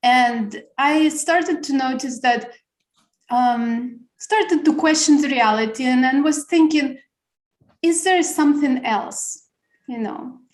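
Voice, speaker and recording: A fairly high-voiced woman from Russia, a native Russian speaker, speaks slowly and reflectively as an interview guest. Her words come with pauses and restarts. The video call picks up a roomy echo around her. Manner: thoughtful, halting, restarts words.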